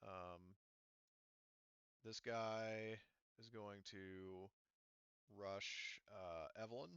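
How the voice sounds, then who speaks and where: Male game master, bright forceful voice in a dry space; casual, halting, salty-tongued delivery for tabletop play. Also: on the low side, steady tone, clear, resonant tone, no room sound, lo-fi sound. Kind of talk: improvised narration and rules talk in an online tabletop roleplaying game.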